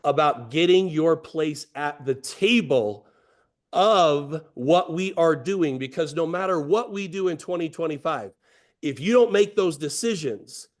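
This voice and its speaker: A hoarse, pitched-up male voice, bursting with enthusiasm. He repeats words for emphasis and scatters fillers through his pep talk. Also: measured pace. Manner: excited, repeats phrases, many fillers. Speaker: company executive. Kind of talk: motivational team address.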